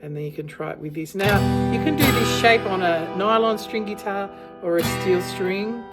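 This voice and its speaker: A woman with a low voice giving an informal, encouraging beginner lesson as a guitar and singing teacher. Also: normal speed. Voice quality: low female voice. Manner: encouraging, reassuring. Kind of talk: informal instructional demonstration for a beginner. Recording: acoustic guitar sounding alongside the voice. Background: acoustic guitar being strummed.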